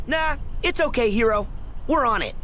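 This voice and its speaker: A man's voice: very high-pitched, lively intonation, normal speed, pushed and bright, slight background noise, heavily acted. An actor playing an earnest, plucky teenage boy hero in a video game, a man. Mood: eager, urgent. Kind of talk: video game cutscene acting.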